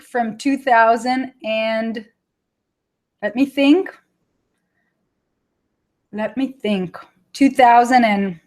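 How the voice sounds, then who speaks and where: Chatty Israeli woman teaching a live webinar. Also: fairly high pitch. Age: 30s. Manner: chatty, laughs often, talks to viewers by name. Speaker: online marketing trainer.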